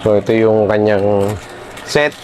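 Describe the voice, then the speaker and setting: A man's voice: on the low side, fairly even intonation, slow pace, a little mellow, a little hiss or hum. A man with a Filipino accent narrating a casual product demo vlog, talking informally and directly to viewers. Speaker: vlogger presenting a product installation.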